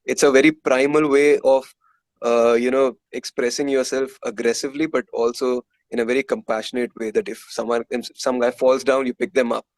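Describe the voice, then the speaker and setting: Casting: male musician guest, Indian accent, mid-pitched voice, dry recording, candid conversational storytelling. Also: level tone, brisk, dry recording, poor audio. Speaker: musician being interviewed. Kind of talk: casual interview storytelling.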